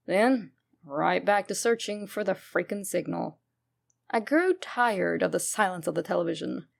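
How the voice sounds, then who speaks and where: Female narrator for creepy internet fiction: noticeable vocal fry, emphatic and wry storytelling. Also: medium pitch, a little mellow. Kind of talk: dramatic first-person horror story reading.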